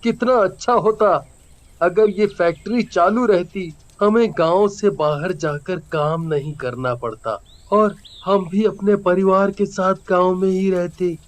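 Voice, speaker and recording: A man's voice: sits high, unhurried. A man voicing an earnest, plain-spoken village workman in cartoon story dialogue. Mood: wistful, dismissive.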